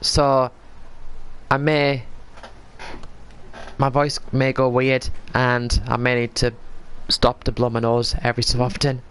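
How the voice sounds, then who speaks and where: A man's voice: medium pitch, completely flat delivery, slow, pauses often, fairly bright tone, clear, resonant tone. A man with a cold, chatting casually while streaming a game and thinking aloud as he plays. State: has a cold. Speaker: livestreamer playing a game. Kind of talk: casual gameplay chat.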